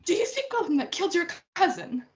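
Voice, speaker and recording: A motherly, distressed servant nurse acted by a woman in a stage play. The voice is extremely high, on a muffled, lo-fi recording. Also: big pitch swings, fast. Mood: reproachful, consoling. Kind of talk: student readers theatre performance.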